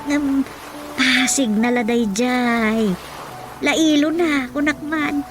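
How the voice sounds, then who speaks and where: A woman's voice: sits high, slow pace. An actress playing an old, talkative, nagging village mother in a radio drama comedy, with a Filipino accent. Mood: scolding, explaining. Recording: clear music behind. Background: music.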